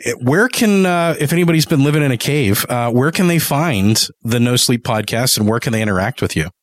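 A man's voice: medium pitch, expressive, fairly quick, dry recording, clean studio sound. A man hosting a podcast interview in a casual, conversational way.

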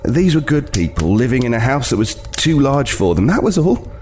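A man's voice: medium pitch, big pitch swings, moderate pace, a little mellow, slight background noise, lightly acted. An actor playing an uneasy homeless man recounting a frightening tale in an audio drama, hesitant and brooding, stumbling over words. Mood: uneasy, haunted. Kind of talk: audio drama first-person horror narration.

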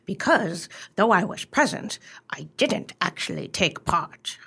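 A woman's voice: fairly low pitch, very animated, a little slow, gravelly, pushed and bright, slightly rough, full character voice. An actress playing a sly, nonchalant society woman in a radio play, speaking in an airy, genteel, mock-earnest manner. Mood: blithe, teasing. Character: sly, nonchalant society woman, blithely bluffing her book club.

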